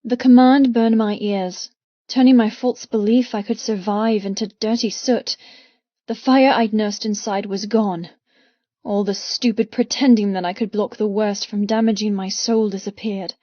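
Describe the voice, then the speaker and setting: A woman narrates a novel in the first person, intense and dramatic. Her pitch is medium. The recording is very dry, with a slightly muted top end. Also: no room sound, muffled recording. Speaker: audiobook narrator.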